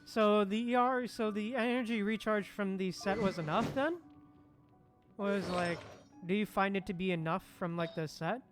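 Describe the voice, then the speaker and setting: Game music plays clearly behind a livestream host with a mid-range voice. The talk is casual and conversational, aimed at viewers in chat. Phrases often come back a second time as the host replies. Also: medium pitch, moderate pace. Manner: repeats phrases, replying to chat.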